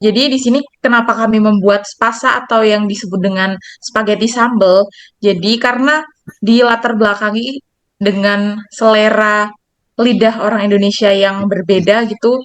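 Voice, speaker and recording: A woman's voice: medium pitch, steady tone, moderate pace, no echo. Young woman giving a class presentation. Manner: reads slides, repeats herself. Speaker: student presenter.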